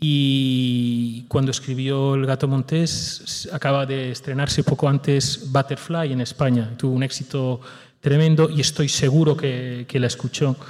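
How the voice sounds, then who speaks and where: A man's voice: mid-range voice, darkish tone. A man serving as music director on a post-show panel, speaking thoughtfully, with frequent hesitant restarts. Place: theater stage or hall. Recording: reverberant hall.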